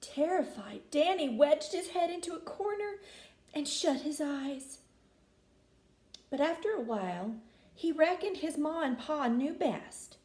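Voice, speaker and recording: Lady reading a kids book, folksy n expressive, slow pace, echoey room. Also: high-pitched. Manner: expressive, folksy storytelling inflection. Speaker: storyteller reading a picture book aloud. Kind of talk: animated children's story reading.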